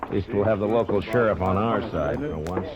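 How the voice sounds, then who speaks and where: An actor playing a tough, plain-spoken American U.S. Marshal in a 1950s radio western, with folksy turns of speech. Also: male voice, low voice, a little fast, over a noisy crowd, close to an everyday voice. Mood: matter-of-fact. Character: steady, tough frontier U.S. Marshal of the Old West. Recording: noisy old radio recording, clicks.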